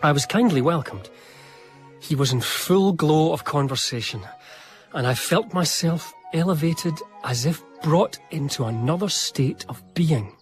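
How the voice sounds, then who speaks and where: An earnest young gentleman narrates his visits in a radio play. He speaks softly and with admiration over faint background music. The voice is mid-pitched and steady. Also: measured pace. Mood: admiring. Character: earnest, devoted young Scottish male biographer narrating. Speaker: narrator and lead actor.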